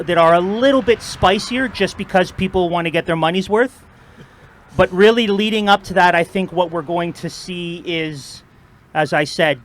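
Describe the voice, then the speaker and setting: A man's voice: sits high, a bit bright. A man moderating an informal outdoor debate, giving upbeat, rallying opening remarks to a crowd.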